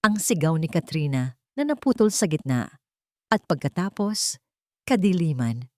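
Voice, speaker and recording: A woman narrating a scripted romance story in an emotive, measured storytelling manner. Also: deep voice, sing-song, dark tone, no echo, studio quality.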